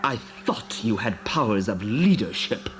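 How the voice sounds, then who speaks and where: A man's voice: fairly low pitch, big pitch swings, a little slow, slight background noise. A man playing a scheming alien officer in a dramatised storybook, sardonic and questioning. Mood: scornful. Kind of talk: dramatised storybook audio acting. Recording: music behind the voice.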